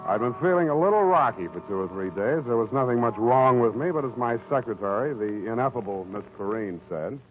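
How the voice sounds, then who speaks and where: An American man acting a wry, weary hard-boiled private detective in an old-time radio drama, deadpan and sardonic. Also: medium pitch, fairly quick, hardly any pauses, lightly acted. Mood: wry, dictating. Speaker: lead actor of a radio detective series. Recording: narrow, tinny old-time radio recording with music.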